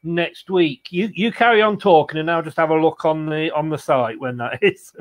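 A man in his sixties hosts a football fan chat from home. His voice is a little higher than average, and he speaks conversationally, often repeating words as he works out a thought. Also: moderate pace. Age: 60s. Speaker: fan channel host. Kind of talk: casual football post-match chat. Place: room at home, indoors. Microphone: video call, webcam.

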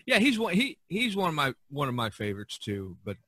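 A man's voice: fairly high pitch, wildly swooping pitch, brisk, pushed and bright, dry recording, studio quality. A middle-aged man hosting a podcast, chatting casually and ramblingly about art. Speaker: podcast host.

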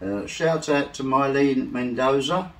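A middle-aged English man reading shout-outs slowly and carefully in a casual home vlog, seated on a living-room sofa. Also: mid-range voice, far from the mic. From England. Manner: slow, soft-spoken, careful over names. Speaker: vlog co-host. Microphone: far camera.

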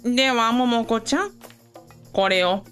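A woman's voice: fairly high pitch, a little slow, a bit bright, soft background music. A woman playing a composed, dutiful assistant in a radio drama, polite and professional as she explains things. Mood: explanatory, professional. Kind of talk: scripted radio drama acting.

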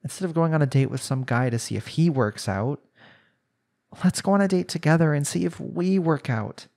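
A man's voice: fairly high pitch, moderate pace, gravelly, a little mellow. A young man playing a jealous, lovestruck husband in an intimate romantic audio roleplay, speaking in an earnest, pleading way. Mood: earnest, yearning. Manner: earnest, pleading, sighing delivery.

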